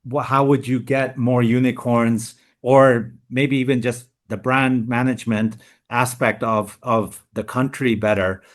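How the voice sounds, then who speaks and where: A man from Pakistan hosting a podcast, asking questions quickly and eagerly with some restarts. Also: mid-range voice, steady tone. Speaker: podcast host. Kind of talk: interview.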